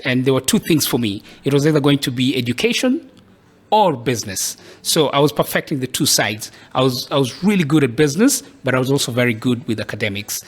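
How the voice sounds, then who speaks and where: A man's voice: mid-range voice, husky. A man from Kenya speaks as a guest on a podcast, his voice sitting in a middle range. He tells his story in a thoughtful, reflective way, at an easy, conversational pace. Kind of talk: casual interview storytelling.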